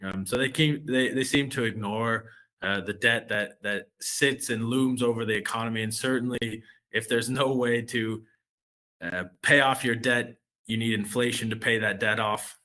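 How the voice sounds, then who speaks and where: A man's voice: mid-range voice, steady tone, moderate pace, bright, forceful tone. An American man, a relationship manager, presenting a finance webinar in a loose way, with many fillers and restarts. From United States.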